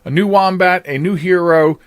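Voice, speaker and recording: Guy hosting a podcast, kinda high voice, chatty and rambly, dry sound. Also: moderate pace, smooth, no room sound. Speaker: podcast host. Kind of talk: casual interview chat.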